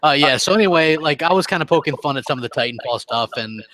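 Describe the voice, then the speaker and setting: A man's voice: fairly high pitch, lively intonation, quick pace, a bit bright, no room sound, low-quality recording. Casual, rambling man with a dry sound. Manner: loose, rambling, self-interrupting. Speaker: co-host of a gaming talk show. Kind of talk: casual, off-the-cuff chat.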